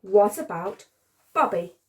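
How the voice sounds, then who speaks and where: A woman's voice: medium pitch, expressive, takes it slowly. A woman voicing a helpful, upbeat male friend in a children's picture book, in an encouraging, chirpy manner. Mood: hopeful, encouraging.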